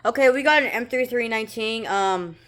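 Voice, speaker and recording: A woman giving a casual, rambling, halting show-and-tell of gear as a hobbyist presenter. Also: medium pitch, fairly bright tone. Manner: halting, rambling, improvised delivery. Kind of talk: casual product showcase. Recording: noisy room with clicking handling sounds. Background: noise, clicks, radio broadcasts.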